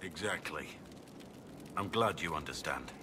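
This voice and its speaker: Gruff old-campaigner soldier for a video game, really deep, earnest n measured, noisy background. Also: male voice, lively intonation. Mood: calm, reflective. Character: gruff, weary middle-aged male soldier, remorseful and resolute. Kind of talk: dramatic video game acting.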